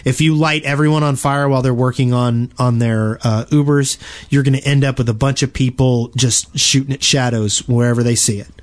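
A man co-hosting a gaming podcast, explaining his points casually and haltingly, often doubling back on his words. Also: medium pitch, steady tone. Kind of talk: casual panel discussion about video games.

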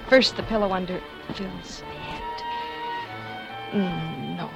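An actress playing a scheming, cold-blooded former stage actress, confessing in dramatic 1940s radio suspense style. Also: female voice, mid-range voice, huge pitch swings, slow pace, creaky, heavily acted. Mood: anxious, searching. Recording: 1940s radio recording with music.

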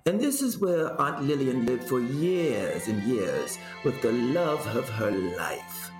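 A man telling stories as an interview guest in a theatrical, grand and droll manner, pausing for effect. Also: medium pitch, very animated, reverberant, over music. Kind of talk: theatrical personal storytelling.

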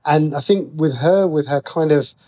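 A man's voice: on the high side, moderate pace, dark tone. A middle-aged man speaking casually as an interview guest, explaining things in a chatty, rambling way. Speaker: writer and radio drama creator, interview guest.

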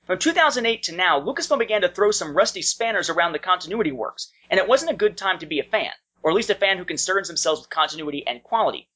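A man's voice: sits high, lively intonation, quick pace, few pauses, pushed and bright. Male podcast co-host on dull audio. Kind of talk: casual podcast talk and reading listener feedback.

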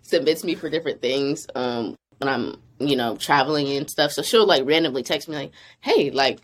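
A woman's voice: a bass voice, normal speed. A young woman, an actress, chatting casually in an interview, laughing often and filling her talk with "like". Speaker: actress guest.

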